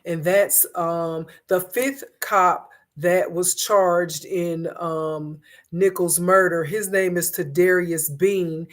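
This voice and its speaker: A woman hosting an online commentary stream, hoarse from a cold, talking in a conversational way with frequent pauses and fillers. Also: lowish voice, fairly even intonation. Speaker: host of an online commentary show. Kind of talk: casual commentary to viewers.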